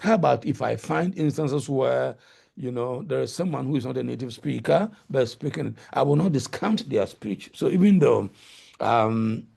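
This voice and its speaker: Gravelly-voiced Ghanaian man. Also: mid-range voice, animated, moderate pace, warm tone. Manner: hesitant, many fillers and restarts. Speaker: guest lecturer. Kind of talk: answering questions in a webinar. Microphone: video call.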